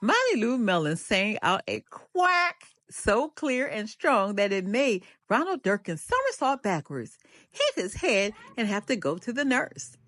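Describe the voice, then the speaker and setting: An older woman reading a children's picture book aloud as a storyteller, with an animated, deliberate delivery and drawn-out refrains. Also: a little high, no echo, clean studio sound.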